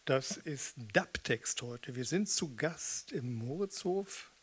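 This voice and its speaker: A man's voice: medium pitch, lively intonation, slow pace, husky. A man in his fifties from eastern Germany, talking casually to introduce a song, close on a stand microphone. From Magdeburg, eastern Germany. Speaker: musician and spoken-word performer. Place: small cinema or theatre hall, indoors. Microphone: stand microphone with pop filter, close.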